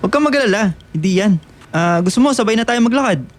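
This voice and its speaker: A man playing a friendly, gentle high school boy with a casual, teasing way of talking in a radio drama. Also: expressive, fast talker. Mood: reassuring. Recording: music under the voice.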